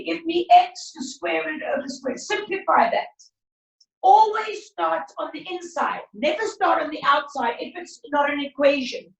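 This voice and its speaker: A woman maths teacher, teaching a class over a video call in a brisk, encouraging way, her voice croaky. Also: very high-pitched. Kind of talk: online class lesson. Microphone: laptop video call. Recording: roomy far-off video call audio.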